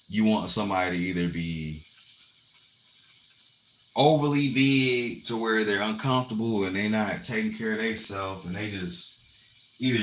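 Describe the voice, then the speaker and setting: A man's voice: lowish voice, low-quality recording. A man around forty on a live stream, chatting to viewers in a blunt, swearing storytelling rant from his phone. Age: 40s. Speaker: livestreamer. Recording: loud background noise with running water.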